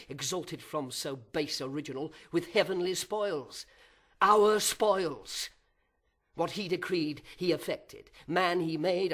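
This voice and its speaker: Man as proud tormented fallen angel, vengeful, theatrical verse reading, very slow, drawn-out words, higher male pitch, whispery moments. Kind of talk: dramatic audiobook verse performance.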